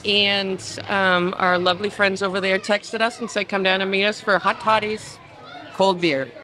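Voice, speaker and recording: A woman's voice: medium pitch, fairly even intonation, moderate pace, sharp tone. An older woman chatting casually as an interviewee on a restaurant patio, speaking into a close stand mic. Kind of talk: casual chat. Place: covered restaurant patio outdoors.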